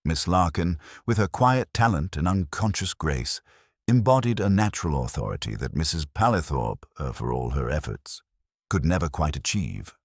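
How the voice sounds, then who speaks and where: A man narrating a scripted mystery story in a measured, formal storytelling manner. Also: very deep voice, very animated, no room sound, clean studio sound.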